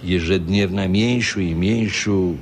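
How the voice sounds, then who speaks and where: An actor playing a bitter, taunting former Soviet space-dog keeper with a Russian accent in a radio drama. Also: male voice, sits low, takes it slowly, slight background noise. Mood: mocking. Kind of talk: radio drama acting, mixing Russian and Polish.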